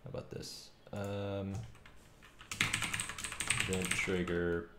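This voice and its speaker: A man's voice: sits low, flat delivery, slow pace, very noisy. A man in his thirties on a casual live-coding stream, muttering to himself in fragments as he thinks aloud. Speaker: game developer live streamer. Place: small home room, indoors. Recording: echoey room with loud keyboard clicking. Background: typing and mouse clicks.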